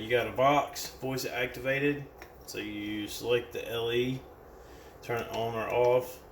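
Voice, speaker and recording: An American man giving a casual hands-on product demonstration in a plain-spoken, loosely improvised way. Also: lowish voice. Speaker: product reviewer. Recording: roomy, distant mic with background noise.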